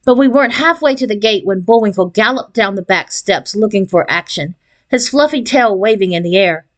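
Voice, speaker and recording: Female reader for a children's chapter book, mid-range voice, expressive narration. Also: medium pitch, a little fast. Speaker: audiobook reader. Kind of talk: expressive read-aloud of a children's novel.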